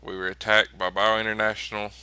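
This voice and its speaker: Low-ish guy at a game table, super bright clear voice, flat measured delivery. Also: no room sound, poor audio.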